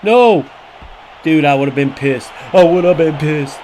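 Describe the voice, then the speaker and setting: A man's voice: on the high side, unhurried, a little mellow. A man streaming a football game, commentating live with profane, ranting trash-talk about his opponents. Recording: clear background noise.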